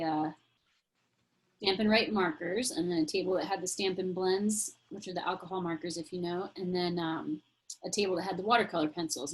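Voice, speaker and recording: A woman's voice: lowish voice, slightly rough, poor audio. A woman teaching a casual online craft class over a video call, explaining steps in a chatty way. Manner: chatty, friendly explaining with fillers.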